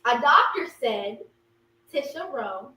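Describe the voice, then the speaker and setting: A young woman co-hosting a casual podcast chat, expressive and quick to laugh. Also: fairly high pitch. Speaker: podcast co-host. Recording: roomy echo, far from mic, faint hum.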